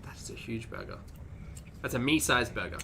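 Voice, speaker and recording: A man's voice: mid-range voice, very animated, normal speed, pushed and bright, slight background noise. A man hosting a reaction stream, chatting casually with viewers in a sardonic, sweary way, sounding congested and short of breath.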